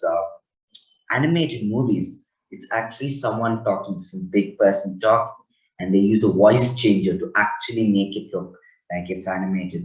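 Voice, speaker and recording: A man giving a loose, rambling software tutorial and correcting himself as he goes. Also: low voice, low-quality recording. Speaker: tech channel host. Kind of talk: casual screen tutorial.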